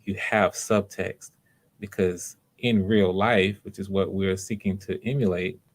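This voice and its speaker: A man's voice: low-pitched, steady tone, unhurried. A man hosting voice auditions on a livestream, talking casually in an informal, encouraging way and often repeating phrases. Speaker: host running voice auditions.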